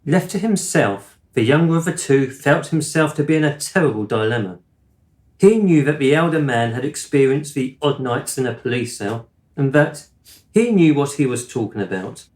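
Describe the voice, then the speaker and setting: An actor playing a gentle, absent-minded middle-aged father in a radio play, with a wistful storyteller's manner. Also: medium pitch, expressive, moderate pace, echoey room, close to an everyday voice. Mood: dramatic storytelling.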